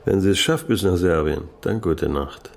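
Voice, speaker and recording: An actor playing a blunt, cynical police detective with coarse, sardonic remarks. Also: male voice, sits low, moderate pace, creaky. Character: gruff, cynical male police detective colleague. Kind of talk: radio drama acting.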